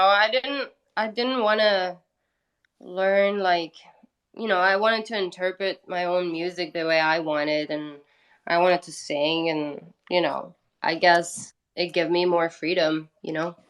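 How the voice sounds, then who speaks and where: Low-pitched woman, chatting casually. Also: steady tone, measured pace, fairly bright tone, clear, resonant tone. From Italy. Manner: informal, frequent "you know" and "like", thinking aloud. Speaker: guest, independent singer-songwriter. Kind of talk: casual conversational interview storytelling.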